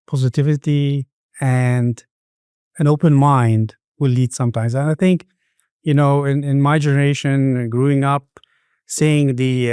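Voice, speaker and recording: A middle-aged man who grew up between the Middle East and Sweden talks as an interview guest. His voice is smooth and relaxed, recorded close and dry. He speaks reflectively, often repeating words as he feels for the next phrase. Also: medium pitch, no echo. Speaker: podcast guest.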